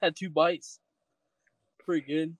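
A man chatting casually as a guest, laid-back and halting, in short phrases. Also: fairly high pitch, little pitch movement, dry recording. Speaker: podcast guest, co-angler. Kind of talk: casual chat.

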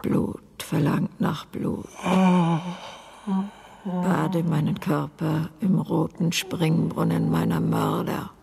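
An actress playing a murdered mother's ghost demanding revenge, speaking slowly and solemnly in a breathy, rasping half-whisper. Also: deep voice, level tone, mellow, full character voice. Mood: vengeful, solemn. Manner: slow, drawn-out, solemn. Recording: long cavernous reverb.

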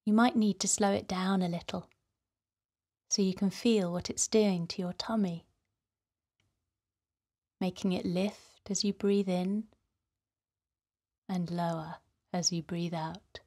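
A woman hosting a guided meditation for children, speaking in a gentle, soothing, softly breathy way. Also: fairly low pitch, steady tone, slow pace, pauses often. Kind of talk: guided relaxation narration for children.

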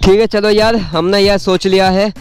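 Young male moto vlogger, teasing and jokey, raised pitch, outdoor action-cam sound with faint music. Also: steady tone, normal speed. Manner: playful, teasing, laughs often. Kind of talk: casual vlog chat to camera. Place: outdoors on an empty highway overpass.

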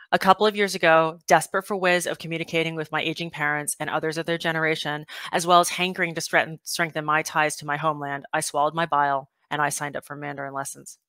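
A woman in her forties from Taiwan, reading her own personal essay aloud on a video call through a headset mic, with sudden lifts in pitch. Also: fairly even intonation, fast, bright, forceful tone, dry recording. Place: home room with bookshelves, indoors.

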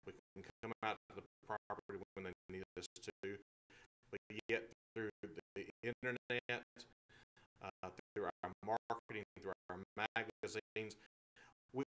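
A middle-aged man explaining his real estate and auction business on a local talk show, speaking in a slow, halting way with fillers, recorded on a table microphone in a small meeting room. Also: on the low side, fairly bright tone, slight background noise, poor audio. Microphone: table microphones, far camera.

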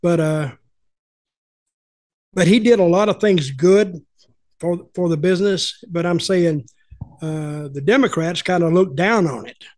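A man's voice: sits high, a little slow, long pauses, darkish tone, no echo. An older man, telling folksy, opinionated stories in casual chat over a video call from home. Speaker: podcast host and storyteller.

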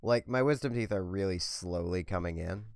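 A young man with a hoarse, scratchy voice from a sore throat, rambling casually while playing a game. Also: sits low, normal speed. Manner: rambling, chatty. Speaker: let's play host. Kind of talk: casual gameplay commentary. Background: faint game music.

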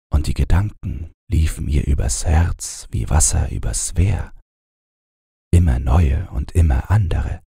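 A man narrating a bedtime fairy tale as an audiobook reader, in a calm, measured storytelling manner. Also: extremely low pitch, vocal fry, breathy edge, dry recording, clean studio sound.

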